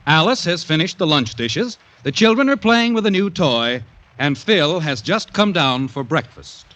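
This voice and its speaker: A man's voice: fairly high pitch, expressive, normal speed, no room sound, dull audio. A man announcing from a script in 1940s radio style on a vintage broadcast recording. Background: loud, clear music.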